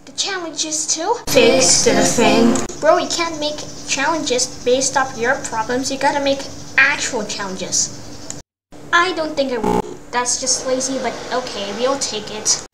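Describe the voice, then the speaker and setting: A woman's voice: sits high, unhurried. A woman doing a playful, giggly amateur cartoon dub, ad-libbing hesitantly as she goes. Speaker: amateur voice-over performer. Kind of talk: hobby cartoon fan dub, improvised character lines. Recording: noisy home recording.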